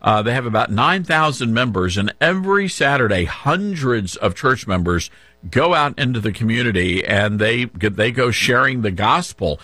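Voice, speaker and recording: A man's voice: mid-range voice, expressive, moderate pace, pushed and bright, no room sound. A man hosting a radio talk show, interviewing a guest in an upbeat, joking, conversational way.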